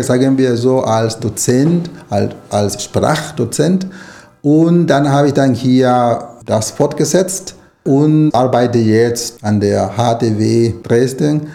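Mellow middle-aged man. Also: medium pitch, vocal fry. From Nicaragua. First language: Spanish. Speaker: interview guest, writer and language lecturer. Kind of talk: conversational interview answers.

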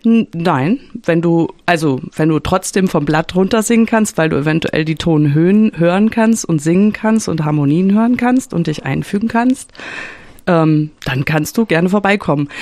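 A woman's voice: lowish voice, darkish tone, no room sound. Woman chatting as radio guest, dry sound. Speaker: choir singer, radio guest. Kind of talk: casual interview chat.

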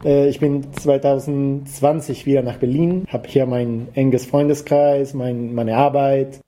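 Male interviewee, activist from Costa Rica, Latin American Spanish accent, halting delivery, notably soft dark timbre. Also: mid-range voice, steady tone, slight background noise. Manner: halting, searching for words. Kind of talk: interview answers.

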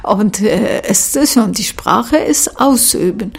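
Halting woman. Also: medium pitch, expressive, gravelly, breathy edge. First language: Arabic. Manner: halting, searching for words, many fillers. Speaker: social worker. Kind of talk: interview answers.

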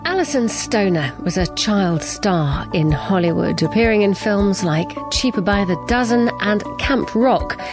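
A woman's voice: medium pitch, lively intonation, unhurried, hardly any pauses, music in the background. A woman reading scripted promotional narration for a radio programme. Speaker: programme trailer announcer.

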